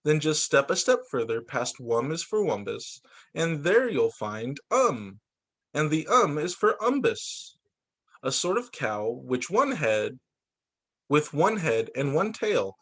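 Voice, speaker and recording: Male teacher for picture-book read-aloud: expressive storyteller, fairly high voice, highly varied melody. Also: takes it slowly. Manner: expressive, sing-song storytelling. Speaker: teacher reading to young students.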